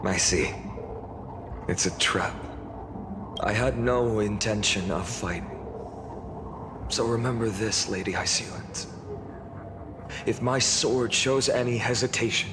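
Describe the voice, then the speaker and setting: A man playing a solemn, resolute swordsman in a fantasy game, speaking in a grave, formal, measured way. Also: on the low side, noisy background. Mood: guarded, resigned. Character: solemn, resolute male swordsman from another era. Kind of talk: scripted fantasy game drama and narration. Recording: long reverb, clear music behind.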